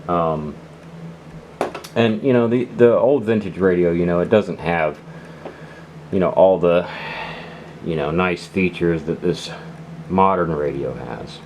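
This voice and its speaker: Casting: low-voiced male hobbyist, casual hands-on demo talk, rambling and hesitant, clearly noisy recording. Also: slow, pauses often, darkish tone. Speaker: radio hobbyist reviewer. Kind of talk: casual unscripted product demonstration.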